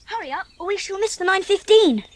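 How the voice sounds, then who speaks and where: A woman's voice: very high-pitched, brisk. A woman playing an earnest, sensitive and slightly bossy English schoolgirl, an older sister, in a dramatised audiobook. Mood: bossy, urgent. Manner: earnest, expressive.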